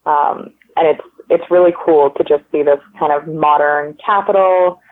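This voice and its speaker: A woman's voice: sits low, steady tone, normal speed. A woman, a guest chatting casually in an interview, rambling with lots of "like" and "um" in an upbeat way. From Canada. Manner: rambling, many likes and ums, upbeat. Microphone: phone line. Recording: tinny phone line.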